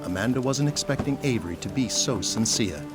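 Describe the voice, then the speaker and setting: A man's voice: mid-range voice, animated, fairly quick, over loud music. A man reading scripted narration for an audio drama.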